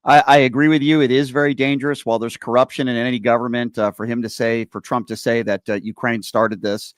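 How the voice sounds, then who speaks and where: An American man with a mid-pitched voice hosts a political interview. His sound is dry, with no room echo, as he puts questions and gives his own opinionated take. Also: little pitch movement, fast, clean tone. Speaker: political talk show host, interviewer.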